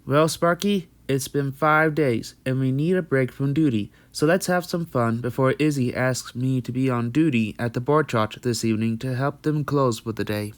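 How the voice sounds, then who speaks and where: Man voicing an easygoing pony guy, mid-pitched. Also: moderate pace, hardly any pauses, soft background music, lightly acted. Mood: relaxed, friendly. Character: easygoing male pony lawman, fond dragon dad. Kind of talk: cartoon comic-dub acting.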